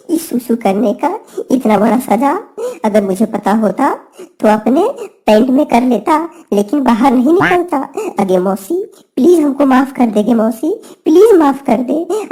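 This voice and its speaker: A cheeky young village bird boy from a cartoon, voiced by a woman, high and raspy. He is a coward who talks big and frets comically in a rustic way. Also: warm tone, full character voice. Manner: comic, bragging and fretful rustic talk.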